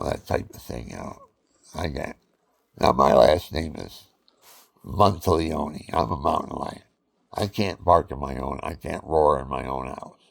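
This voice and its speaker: An older man with a deep voice, talking in a rambling, folksy personal monologue full of fillers. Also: gravelly, breathy edge. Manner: folksy, meandering, with many fillers.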